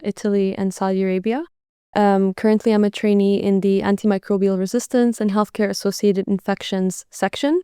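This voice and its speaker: A young woman introducing herself in an interview in an even, matter-of-fact way with a few ums. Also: medium pitch, clear, resonant tone, dry recording, clean studio sound. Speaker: guest.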